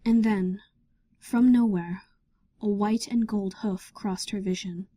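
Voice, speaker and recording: A woman's voice: medium pitch, fairly even intonation, slow, dark tone. A woman narrating a scripted story in a dramatic reading style.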